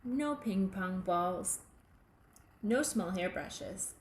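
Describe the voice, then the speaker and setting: A woman's voice: mid-range voice, expressive, extremely slow, pitched below the performer's own voice. A woman voicing a knowledgeable, helpful male guinea pig café owner from a storybook, speaking in short, instructive pronouncements. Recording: echoey room with faint background noise.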